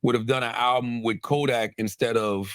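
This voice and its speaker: A man talking in an animated, casual group discussion, telling stories emphatically, repeating phrases and swearing. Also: medium pitch, normal speed, no room sound, studio quality. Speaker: show host.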